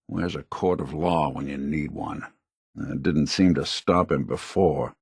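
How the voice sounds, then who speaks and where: A male actor voicing a world-weary, principled android private detective in a video game, with a gravelly, wry, plainspoken noir delivery. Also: sits low, dry recording, clean studio sound, exaggerated voice. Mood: grave, reproachful. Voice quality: gravelly, husky.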